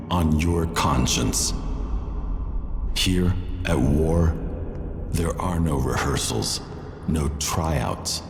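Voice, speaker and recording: A man playing a grave, brooding army general, speaking in a solemn, deliberate, theatrical way. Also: rumbling low, darkish tone, full character voice. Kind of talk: slow theatrical stage monologue. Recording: echoey hall, long reverb, faint music.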